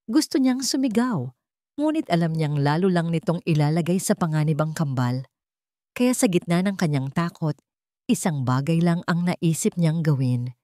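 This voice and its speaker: Low-pitched Filipino woman narrating dramatic fiction. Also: mellow, dry recording, clean studio sound. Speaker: story narrator. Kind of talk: scripted dramatic narration of a Tagalog love story.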